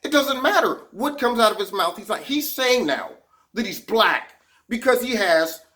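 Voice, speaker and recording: A man's voice: sits high, lively intonation, normal speed, sharp tone. Sarcastic man hosting a political vlog. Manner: sarcastic, repeats words when heated. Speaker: vlog host.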